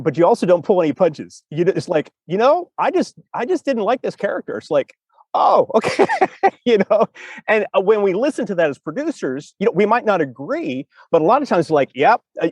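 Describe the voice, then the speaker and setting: Guy hosting a podcast, chatty and rambling, voice a bit high, really dry audio. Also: very animated, fairly quick, breathy edge, no room sound. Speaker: podcast host. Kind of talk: casual interview chat.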